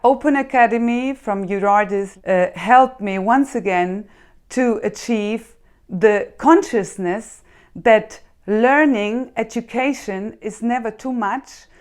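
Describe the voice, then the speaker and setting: A woman's voice: on the high side, slow, clear, resonant tone. A woman from Germany, giving an emphatic, encouraging testimonial.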